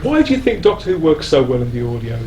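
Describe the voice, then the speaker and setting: Man, fairly high voice, casual panelist, faint noise behind. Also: big pitch swings, fast talker, warm tone, reverberant, dull audio. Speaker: convention panelist. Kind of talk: casual live panel chat. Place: on stage at a fan convention. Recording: slightly noisy live event room.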